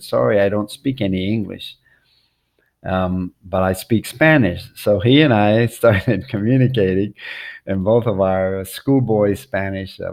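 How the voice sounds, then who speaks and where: Deep, gravelly older man reminiscing. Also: warm tone. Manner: halting, reflective, repeats words as he goes. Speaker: storyteller recounting a family-history trip. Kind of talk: unscripted personal reminiscence.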